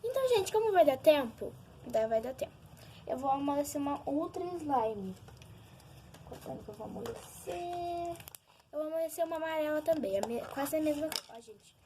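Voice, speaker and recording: High-voiced Brazilian girl, noisy homemade recording. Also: expressive, normal speed, mellow. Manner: informal, giggly, addresses viewers often. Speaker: vlogger showing slime. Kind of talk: casual chat to camera with hands-on demonstration. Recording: noisy home recording with clicks.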